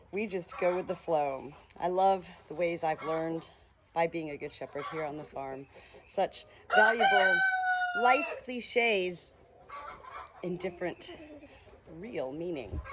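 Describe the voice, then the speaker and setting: A woman with a fairly low voice reads aloud in an informal, unhurried manner. She sounds like an amateur reader who slips easily between text and casual talk. The recording is made outdoors, with faint farm ambience and animals behind her. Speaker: audiobook reader and small hobby farm keeper. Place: outdoors on a small hobby farm. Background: farm animal sounds, ambient noise.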